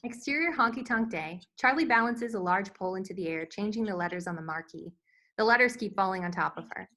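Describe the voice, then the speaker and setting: A woman's voice: medium pitch, fast talker, pushed and bright. A woman narrating scripted stage directions at a screenplay table read.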